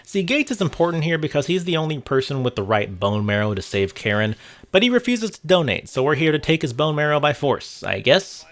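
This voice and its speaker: A man's voice: a little high, very animated, fairly quick. A man narrating a casual video game essay with wry, sarcastic commentary and casual swearing. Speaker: host of a video game review channel.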